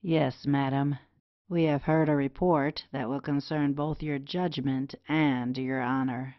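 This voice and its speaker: A woman playing a melancholy, bitter gentleman suitor in an old comedy, speaking formally and with measure. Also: rumbling low, fairly even intonation, measured pace, no echo, muffled recording. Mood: grave. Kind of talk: Restoration comedy audio play acting.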